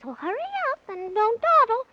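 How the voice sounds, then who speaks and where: A woman playing a small, excitable, bossy magical creature who talks in singsong rhyme in a 1930s children's radio serial. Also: normal speed. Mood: bossy, hurried. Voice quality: squeaky. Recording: noisy, muffled 1930s radio recording.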